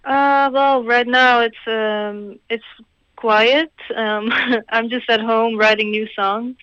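A woman's voice: a little high, steady tone, slow, a bit bright, clean tone, no echo, dull audio. A woman from Croatia, a singer-songwriter answering interview questions in a hesitant, thoughtful way with small laughs. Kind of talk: radio interview guest.

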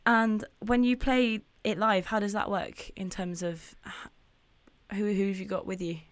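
A woman's voice: medium pitch, steady tone, a little slow, dry recording. A woman interviewing a musician, chatty and friendly in her questions. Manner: chatty, laughs easily between questions. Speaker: radio interviewer.

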